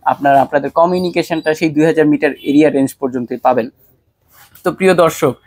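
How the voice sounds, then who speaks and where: A Bangladeshi man delivers a promotional sales pitch in a fairly high voice. He addresses viewers directly, in the persuasive way of a shop presenter. The sound is very dry, with hardly any room to it. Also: dry recording. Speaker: shop presenter selling a product. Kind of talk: promotional product pitch to camera.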